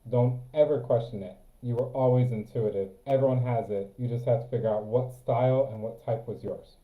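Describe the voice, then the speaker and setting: A man's voice: medium pitch, fairly even intonation, a little fast. A man chatting casually as a co-host, giving earnest, repetitive advice. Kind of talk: casual spiritual chat. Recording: echoey phone-like audio.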